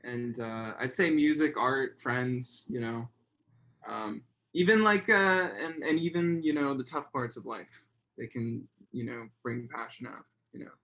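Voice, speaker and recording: Hesitant young man, halting casual chat. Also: fairly bright tone, poor audio. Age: young adult. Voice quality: fairly high voice. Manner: hesitant, many fillers and restarts. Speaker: guest.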